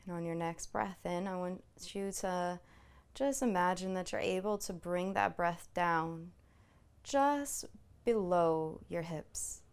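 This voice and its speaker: A woman with a lowish, smooth voice that settles into a noticeable creak guides a body scan meditation. She is soothing and unhurried, leaving long pauses between phrases. Her manner is gently permissive and reassuring. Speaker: meditation instructor.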